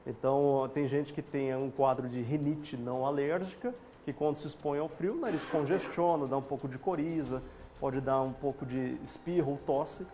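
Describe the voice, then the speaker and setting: Calm Brazilian doctor explaining. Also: male voice, on the high side. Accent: Brazilian Portuguese. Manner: calm, explanatory, plain lay terms. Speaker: doctor, guest. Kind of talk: explaining on a TV health show.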